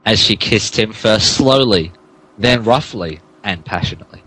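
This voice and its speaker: A man's voice: on the low side, normal speed, a bit bright, husky, low-quality recording. A man, a podcast host, narrating a comic dramatic reading of fan fiction theatrically and stumbling over the text.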